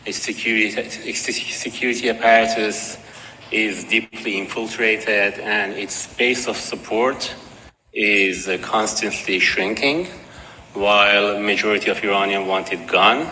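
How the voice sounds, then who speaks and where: A man's voice: on the low side, steady tone, bright, forceful tone. Man, Persian accent, conference talk, hesitant fillers, long hall reverb, faint noise. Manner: measured, with frequent uh fillers and restarts. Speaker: conference speaker. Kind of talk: conference presentation.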